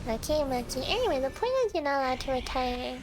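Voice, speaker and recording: A woman's voice: sits high, expressive, fast talker, background noise. A sleepy woman livestreamer reacting to a game and chatting casually with viewers in a playful, teasing manner. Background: game music.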